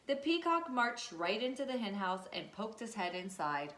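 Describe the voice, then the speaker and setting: A woman's voice: medium pitch, very animated, normal speed, a bit bright. Lady teacher doing a storybook read-aloud, checks in with the kids, roomy echo. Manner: instructive, checks in with questions. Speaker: teacher reading aloud to her students. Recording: echoey room.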